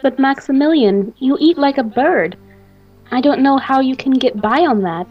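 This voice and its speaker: A woman's voice: sits high, animated, normal speed, soft background music. A woman playing an obsessive, imperious mad scientist, formal and theatrical, in an amateur radio play with dull recording. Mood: polite, controlled. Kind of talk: gothic radio play acting. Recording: dull, muffled home recording.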